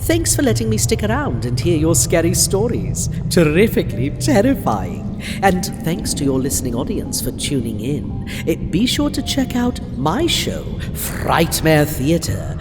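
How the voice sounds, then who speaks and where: A woman playing a theatrical, flustered cult leader in a comedic horror audio drama, halting and melodramatic. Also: low-pitched, very animated. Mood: deflated, theatrical. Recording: long hall reverb with music behind.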